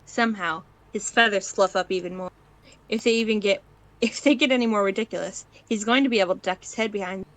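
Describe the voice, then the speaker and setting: Mid-pitched woman reading fan fiction informally. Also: quick pace, fairly bright tone, rough recording. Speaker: narrator, stream reader. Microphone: online voice call.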